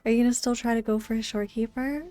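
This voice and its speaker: A woman streams a game with a fairly high, soft-toned voice. She talks slowly and casually, friendly with the people in her chat. The sound is close and dry. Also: steady tone, dry recording. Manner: friendly, greets viewers by name. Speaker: live streamer. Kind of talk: casual chat with viewers while gaming. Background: game music.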